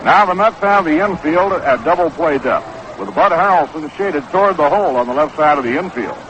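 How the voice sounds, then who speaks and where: 1960s baseball radio announcer, noisy old recording. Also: male voice, a little high, expressive, a bit bright. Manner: measured, descriptive calls that quicken on action. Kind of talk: 1960s live radio sports commentary. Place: outdoor ballpark broadcast position. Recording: noisy, dull vintage 1960s radio broadcast.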